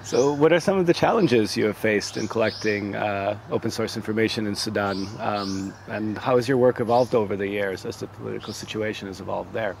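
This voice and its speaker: A man asking interview questions in a measured, plain way. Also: lowish voice, creaky, a little hiss or hum.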